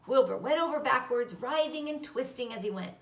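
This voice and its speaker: A woman's voice: sits high, animated, brisk. A woman narrating a children's storybook as a read-aloud. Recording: roomy, echoing, distant microphone.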